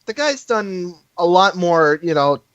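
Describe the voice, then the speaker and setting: A podcast co-host chatting casually in an animated, exclamatory way. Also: medium pitch, unhurried, dry recording, highs cut off. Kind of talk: casual chat.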